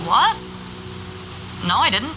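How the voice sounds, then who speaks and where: An actress with a New Zealand accent playing a chatty, curious and friendly local interviewer in a naturalistic audio drama. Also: female voice, high-pitched, very animated, takes it slowly, background noise, full character voice. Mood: puzzled. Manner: chatty, inquisitive.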